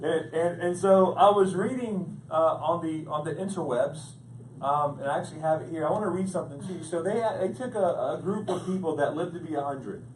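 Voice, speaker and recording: A man preaching with a playful, joking delivery, his voice sitting a little high for a man. He sounds set back from the microphone in a large echoing church hall, with steady noise underneath. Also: fairly quick. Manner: playful, animated storytelling with jokes. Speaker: pastor. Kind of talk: humorous sermon. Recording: echoey hall, distant mic, background noise.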